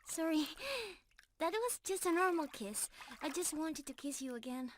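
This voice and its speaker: A woman's voice: sits high, normal speed, slight background noise, lightly acted. A woman playing an affectionate, playful young mermaid in love, talking tenderly and giggly to the listener in an ASMR roleplay. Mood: soft, loving. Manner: sweet, giggly, tender, addressing the listener directly. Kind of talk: ASMR roleplay, scripted intimate acting.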